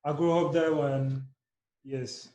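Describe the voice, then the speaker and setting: A soft-spoken man in his thirties, from Congo and raised in Belgium, with Lingala as his first language. His voice is dark and mellow, and he pauses often on "you know" as he answers at an easy, mid-range pitch. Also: level tone, low-quality recording. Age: 30s. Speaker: podcast guest, soccer player. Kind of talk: casual interview chat.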